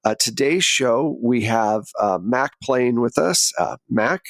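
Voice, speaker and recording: A man with a medium-pitched voice acts as game master. He talks conversationally, moving between hosting and narrating the scene for a tabletop roleplaying game. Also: lively intonation, slow pace, no echo.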